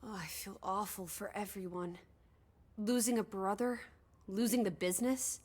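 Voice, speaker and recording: A woman's voice: medium pitch, normal speed, a little mellow, close to an everyday voice. Woman acting an inquisitive, outspoken young companion. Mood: concerned. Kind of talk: video game voice acting.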